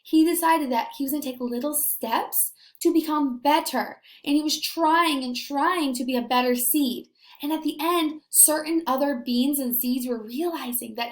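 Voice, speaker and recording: A woman teaching young children in a read-aloud lesson, animated and expressive as she puts questions to them. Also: high-pitched, reverberant. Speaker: elementary school teacher.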